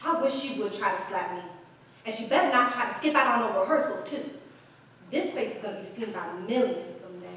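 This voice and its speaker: A brash, practical aspiring actress in a stage play. Her voice is high and sharp, with a mocking, emphatic edge. It rings from some distance in a very reverberant room. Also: expressive, fairly quick. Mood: sassy. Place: indoor performance space with live stage reading. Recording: echoey hall, distant mic.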